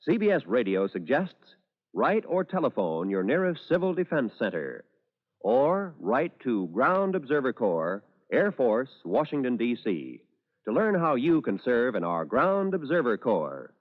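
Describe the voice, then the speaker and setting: A male announcer reads in formal 1950s radio style with swooping, animated pitch. His delivery is measured and emphatic. The old recording is dull, with a long reverberant tail.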